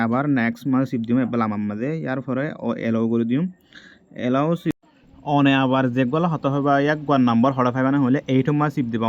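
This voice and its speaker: A man's voice: mid-range voice, no room sound, lo-fi sound. A man with a Rohingya-Chittagonian accent giving an informal how-to explanation for social media, going step by step and repeating himself. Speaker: tech tutorial video creator.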